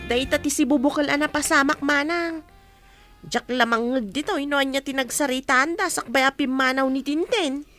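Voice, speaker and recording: A woman's voice: high-pitched, moderate pace, fairly bright tone, faint music behind. A woman with an Ilocano Filipino accent acting a meddlesome, easily offended village mother in a radio drama, exclaiming and questioning. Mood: nosy, excited.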